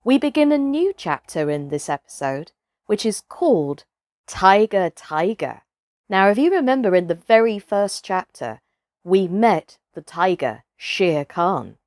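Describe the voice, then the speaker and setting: A woman reading a children's story aloud as a storyteller, with a deliberate, dramatic delivery. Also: mid-range voice, big pitch swings, a little mellow, no echo, clean studio sound. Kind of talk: expressive children's audiobook narration.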